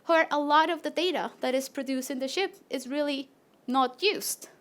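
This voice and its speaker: Woman, 30s, Latin American Spanish accent, high voice, friendly animated presenter. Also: normal speed. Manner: friendly and animated, with small fillers and restarts. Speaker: PhD researcher presenting. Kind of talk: live PechaKucha talk to an audience.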